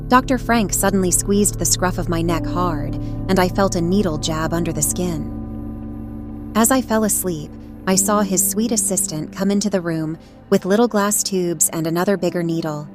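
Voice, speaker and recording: Lady playing a caring female cat narrating her story, measured and earnest, music behind her. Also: lowish voice, lightly acted. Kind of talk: first-person dramatic story narration. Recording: narration over prominent music.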